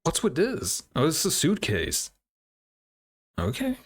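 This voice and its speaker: A man's voice: fairly high pitch, wildly swooping pitch, slow pace. A man hosting a casual reaction video, thinking aloud in hesitant fragments. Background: game trailer music.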